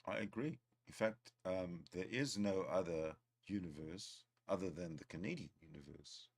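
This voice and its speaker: A man's voice: low voice, moderate pace, gravelly, breathy edge. A man from South Africa with a South African accent, chatting casually. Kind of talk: casual chat.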